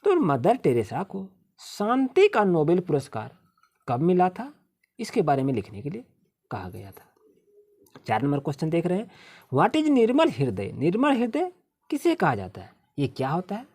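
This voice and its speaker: A woman with an Indian accent teaching a school lesson to children, reading English sentences slowly word by word and then explaining them. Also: on the low side, wildly swooping pitch, a little mellow, breathy edge.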